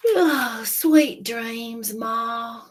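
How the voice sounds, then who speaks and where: A woman voicing an excitable, playful, affectionate newborn gosling in a children's story. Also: a little high, very slow. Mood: sleepy affection. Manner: exuberant, affectionate.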